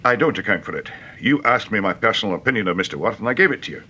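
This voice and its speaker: An actor playing a blunt, plain-speaking British police inspector in a radio mystery, forthright in manner. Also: male voice, lowish voice, expressive, rapid-fire, pushed and bright, slightly rough, full character voice. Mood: forthright, approving. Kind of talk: radio mystery drama acting.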